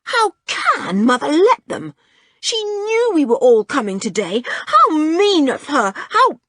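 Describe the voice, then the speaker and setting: A woman's voice: lowish voice, sing-song, a little slow, no room sound, studio quality. A woman voicing a bold, hot-tempered girl, blunt and indignant, in a children's story. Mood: horrified. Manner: indignant, snappy. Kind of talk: children's audiobook character voice.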